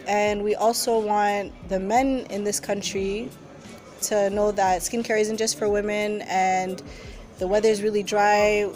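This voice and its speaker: A young woman from Canada, a skincare entrepreneur, speaking to camera outdoors in an upbeat, encouraging way. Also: mid-range voice. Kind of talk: interview to camera. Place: outdoors in a garden. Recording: background music under voice. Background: music.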